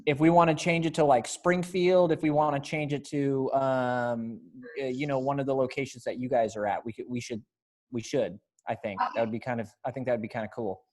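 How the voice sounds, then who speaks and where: Rehearsal director, casual chat, mid-pitched voice, giving notes to readers. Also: fairly even intonation, a little fast, darkish tone. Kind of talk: casual directing over video call, stage directions.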